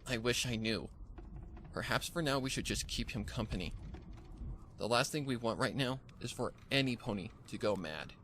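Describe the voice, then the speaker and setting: A man's voice: medium pitch, moderate pace, a bit bright, a little hiss or hum, close to an everyday voice. A man voicing a stern, protective pegasus mare in a story reading, firm and urgent in manner. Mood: defeated.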